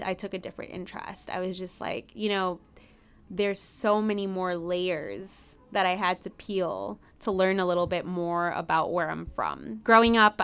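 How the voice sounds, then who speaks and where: A woman's voice: lowish voice, a bit bright, smooth. A young adult woman, a native Spanish speaker, talking reflectively as a podcast guest with many restarts and fillers. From United States. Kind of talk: casual conversation.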